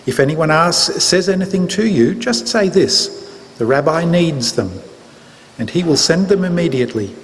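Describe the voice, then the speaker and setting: A man acts out a solemn religious teacher instructing his followers in a dramatic scripture reading. The voice sits a little high for a man and is measured and declarative. It rings through a reverberant hall with faint noise. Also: animated, close to an everyday voice. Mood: calm instructing. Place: large church, live reading.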